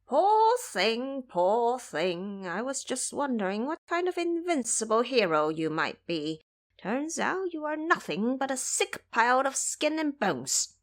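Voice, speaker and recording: A woman's voice: a little high, sing-song, a little slow. A woman voicing a proud, hot-tempered old male monster king in an audio drama, haughty and indignant. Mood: mocking.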